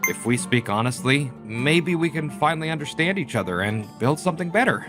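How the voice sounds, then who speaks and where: Guy acting out honest loyal young brother in a manga dub, really swingy pitch, music under it. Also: expressive, brisk, lightly acted. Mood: earnest. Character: wronged, honest young working man, stubbornly loyal brother. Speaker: voice actor in a dubbed manga story. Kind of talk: dramatized scripted story with narration.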